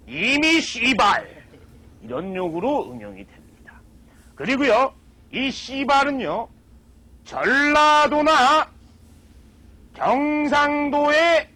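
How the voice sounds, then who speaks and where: A man on a comedy stage giving a mock lecture, drawing out his words slowly for emphasis. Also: extremely high pitch, bright, forceful tone. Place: stage with live audience.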